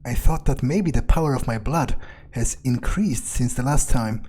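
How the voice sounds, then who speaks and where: A man's voice: mid-range voice, normal speed, a little mellow, husky. A man doing in-character video game commentary, thinking aloud in a blunt, muttering, profane way. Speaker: video game player role-playing his character. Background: game music.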